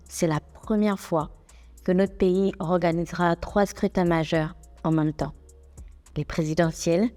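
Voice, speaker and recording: A young adult woman, presenting civic information in a clear, measured, explanatory way. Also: fairly low pitch, warm tone, big-room sound. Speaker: radio host and reporter.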